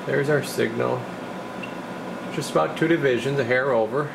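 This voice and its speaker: A man working as a repair technician gives a casual hands-on walkthrough, halting and thinking aloud as he goes. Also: mid-range voice, creaky, background noise. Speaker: radio repair technician presenting his work. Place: indoor electronics workbench.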